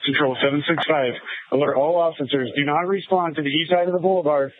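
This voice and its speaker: A man's voice: a little high, fairly even intonation, fast talker. A male police officer firmly warning other officers over the radio. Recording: tinny police scanner radio.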